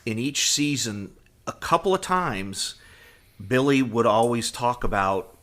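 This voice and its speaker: A man co-hosting a podcast in casual fan discussion, halting and often repeating words as he thinks. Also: mid-range voice, lively intonation, a bit bright. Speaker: podcast co-host.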